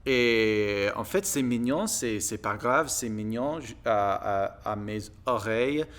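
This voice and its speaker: Male vlogger, American accent, English native. Unhurried, hesitant casual delivery in a learned language, smooth tone. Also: mid-range voice, animated, fairly bright tone. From United States. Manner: halting, searching for words in a second language. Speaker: video blogger practising French. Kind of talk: casual monologue to viewers.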